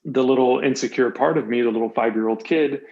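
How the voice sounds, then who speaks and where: A man's voice: mid-range voice, brisk, darkish tone, dull audio. A man talking casually and earnestly as a podcast guest, emphatic and often repeating words and phrases for effect. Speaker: podcast guest, motivational coach. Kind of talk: casual conversational interview talk.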